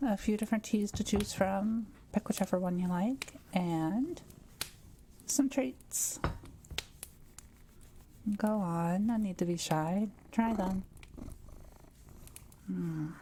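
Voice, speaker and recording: Woman acting a gentle, mellow-voiced forest witch. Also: medium pitch, slow pace, long pauses, slight background noise, lightly acted. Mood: warm, hospitable. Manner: gentle, hesitant, softly teasing. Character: kind, shy, quiet forest witch. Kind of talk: intimate audio roleplay, speaking to the listener.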